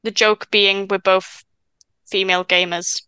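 A woman's voice: mid-range voice, little pitch movement, pushed and bright, clean tone, no echo. A Welsh-speaking woman in her twenties, a podcast guest chatting casually with frequent stammers and restarts from a stutter. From Wales. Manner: chatty, quick asides and laughs. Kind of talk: casual chat.

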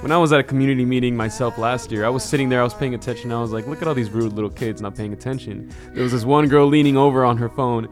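Young guy on a student podcast, tells stories animatedly, quiet music underneath. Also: medium pitch, rapid-fire, hardly any pauses. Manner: lively storyteller, acts out quotes. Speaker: student guest. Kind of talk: casual podcast chat.

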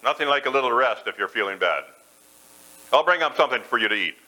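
A man voicing a husband who grows colder toward his sick wife, in a brisk, impatient manner. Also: medium pitch, expressive, pushed and bright, low-quality recording. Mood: mock concerned.

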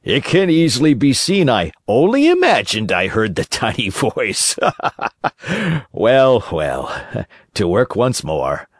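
A man's voice: mid-range voice, huge pitch swings, normal speed, few pauses, husky, dry recording, studio quality. A man voicing a nervous old carpenter in a storybook who mumbles to himself and laughs uneasily. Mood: shaky. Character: old carpenter, jittery and easily scared.

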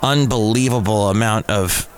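A man's voice: lowish voice, moderate pace, low-quality recording. A man hosting a solo podcast, delivering entertainment news commentary in a wry, opinionated way. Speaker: podcast host. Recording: clear background noise and ambience.

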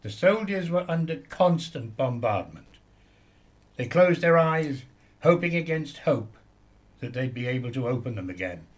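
A man's voice: mid-range voice, lively intonation, a little slow, close to an everyday voice. A man playing a sombre soldier who recalls suffering comrades, narrating dramatically in a radio play.

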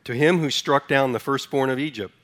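A man's voice: mid-range voice, a little fast, a bit bright. Man preaching a conversational sermon. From Sudan. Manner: conversational, asks the congregation questions; leads a responsive reading line by line. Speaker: pastor.

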